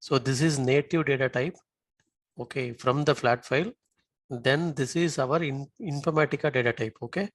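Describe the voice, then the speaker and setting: Man, software trainer, screen-share tutorial, halting step-by-step delivery, dry close recording. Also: medium pitch, no echo.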